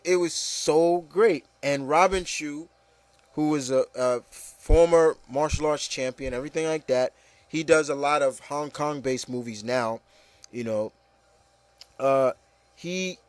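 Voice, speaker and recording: A man's voice: a little high, unhurried, no echo. A man giving casual, rambling opinion commentary, repeating phrases and addressing listeners emphatically. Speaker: video commentator.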